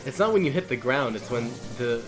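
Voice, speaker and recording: A man's voice: mid-range voice, animated, fairly quick. A man co-commentating on gameplay in casual, wry, teasing chat, with game music behind him. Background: video game music.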